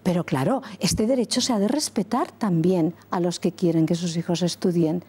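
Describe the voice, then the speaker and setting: A middle-aged woman from Catalonia, a former school principal, answering interview questions earnestly and deliberately, pausing and correcting herself often. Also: lowish voice, mellow, breathy edge. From Catalonia, Spain. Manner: deliberate, with frequent pauses and self-corrections.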